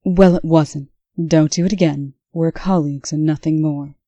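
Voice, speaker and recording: A woman playing a stern, brisk official in a comic dub, speaking with dry, scolding authority. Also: sits low, fairly even intonation, warm tone, no room sound, highs cut off. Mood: scornful, rebuffing. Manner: crisp, authoritative, dryly scolding. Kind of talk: scripted comic-dub acting.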